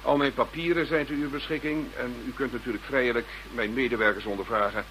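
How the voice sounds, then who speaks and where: A man's voice: mid-range voice, fairly even intonation, quick pace, fairly bright tone, close to an everyday voice, poor audio. A man acting a Dutch cabinet minister in a radio drama, formal and cooperative. He speaks earnestly and carefully in a mid-range voice, with faint hum behind.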